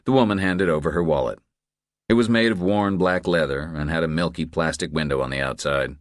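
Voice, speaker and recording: A man's voice: rumbling low, fairly quick, dry recording, studio quality. A man reading scripted thriller narration as an audiobook narrator.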